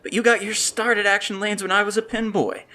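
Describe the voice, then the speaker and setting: Man playing an earnest, bright-voiced young veteran. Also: high-pitched, little pitch movement, a little fast. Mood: pleading. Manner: earnest, pleading. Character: eager, pleading rough young war veteran seeking work. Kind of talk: radio play acting.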